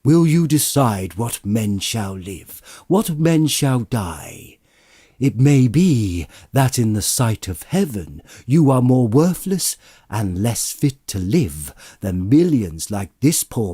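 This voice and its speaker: A man's voice: lowish voice, sing-song, takes it slowly, warm tone, breathy edge, no echo, studio quality, full character voice. A man voicing a stern, righteous spirit in a dramatized audiobook of a Victorian ghost story, declaiming solemnly and emphatically. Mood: scornful, rebuking. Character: stern, booming, righteous spirit rebuking a miser.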